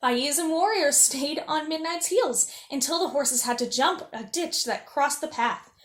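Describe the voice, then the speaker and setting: A woman reading a children's story aloud as a storyteller, with animated, expressive delivery. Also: high-pitched, a little slow, roomy sound. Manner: animated, acting out dialogue. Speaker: storyteller reading as a princess character.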